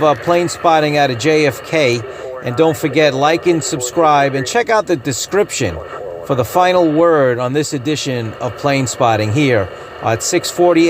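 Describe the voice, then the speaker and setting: A man's voice: mid-range voice, noisy background. A man casually presenting a vlog as its host. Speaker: video channel host.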